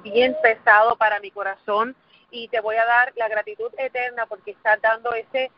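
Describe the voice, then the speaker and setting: Earnest Puerto Rican woman speaker, muffled. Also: on the high side. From Puerto Rico. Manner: earnest, repeats words for emphasis, asks the audience questions. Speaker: motivational speaker. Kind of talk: motivational presentation.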